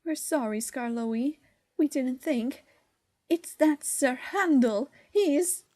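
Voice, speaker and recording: A man voicing sulky, spiteful railway coaches in a storybook, pitched high and whiny. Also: expressive, takes it slowly, dark tone, heavily acted. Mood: meek. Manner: whiny, high and thin, meek when scolded.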